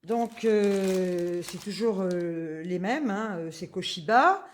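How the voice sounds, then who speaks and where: A woman's voice: mid-range voice, animated, slow pace, a little hiss or hum. A woman, chatting casually through a grocery haul, full of fillers and asides. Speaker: vlogger.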